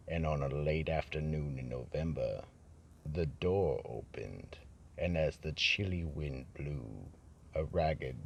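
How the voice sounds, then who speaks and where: A man as a gravelly, very deep horror host. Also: fairly bright tone, a little hiss or hum, exaggerated voice. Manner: slow, ominous, theatrical. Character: brooding keeper of the vault, a sinister horror storyteller. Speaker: horror anthology host and narrator. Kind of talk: scripted horror radio drama narration.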